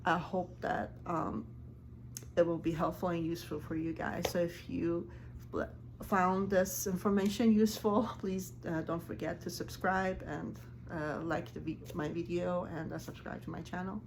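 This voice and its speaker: A woman who grew up speaking Farsi, a life coach talking casually to camera in earnest, halting speech with many ums and restarts. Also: on the low side, steady tone, a little mellow, slight background noise, poor audio.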